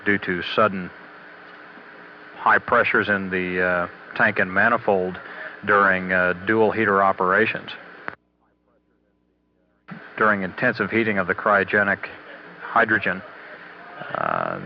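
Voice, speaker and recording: A man giving a halting, matter-of-fact technical status briefing as a spaceflight mission commentator, on old radio audio. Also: deep voice, lively intonation. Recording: dull, noisy 1980s mission radio audio.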